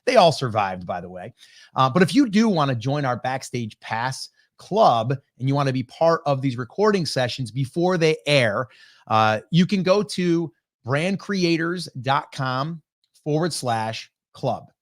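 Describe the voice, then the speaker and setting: A man in his forties hosts a livestream podcast from his desk, his middle-pitched voice still a little hoarse from a recent cold. He talks in a loose, upbeat, chatty way, full of fillers. The sound is a plain desk mic over a video call. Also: very animated, measured pace. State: slightly hoarse, recovering voice. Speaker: podcast host. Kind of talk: casual livestream podcast chat.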